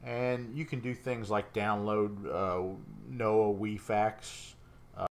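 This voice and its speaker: Low, gravelly man. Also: level tone, slow, a little hiss or hum. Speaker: shortwave radio hobbyist presenting a demonstration. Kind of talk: casual unscripted demonstration.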